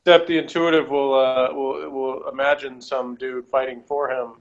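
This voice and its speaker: A man's voice: mid-range voice, flat delivery. Dull-sounding man in casual review chat. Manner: halting, repeats words while arguing a point. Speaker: podcast panel reviewer.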